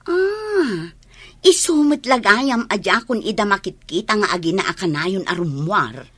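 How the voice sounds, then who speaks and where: An actress playing an inquisitive, envious gossip of a neighbour with a husky voice in a radio drama. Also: female voice, mid-range voice, very animated, a little slow, a bit bright, full character voice. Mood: curious, envious. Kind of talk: regional radio drama acting.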